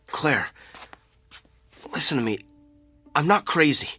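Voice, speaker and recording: An actor playing a distraught husband in a radio drama, agitated, insistent and pleading. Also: male voice, on the high side, animated, takes it slowly, pushed and bright, full character voice. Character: distraught husband convinced his face is a stranger's.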